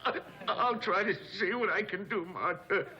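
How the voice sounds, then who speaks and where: A man's voice: high voice, muffled recording, natural voice. A man playing a wheedling telegram messenger in a 1950s sitcom, hesitant and given to putting on a pitiful act. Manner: hesitant, meek, drawn-out hinting. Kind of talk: 1950s television sitcom acting. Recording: vintage TV soundtrack, clear noise.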